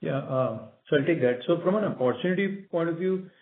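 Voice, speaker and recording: A man with an Indian accent, a company executive giving halting, measured, unscripted answers on a business call. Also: on the high side, lively intonation, phone-quality sound. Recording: echoey, band-limited conference call line.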